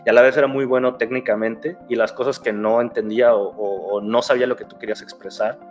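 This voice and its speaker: A man's voice: medium pitch, steady tone, quick pace, lo-fi sound, soft background music. A young Mexican man with a Mexican Spanish accent, talking casually as an interview guest, rambling, restarting phrases and adding fillers. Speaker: guest, security researcher.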